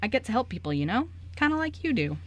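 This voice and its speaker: A woman's voice: on the high side, very animated, quick pace. A woman playing a breezy young male journalist with secret magic in an amateur radio play, talking in a casual, joking, slangy way. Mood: friendly, sincere.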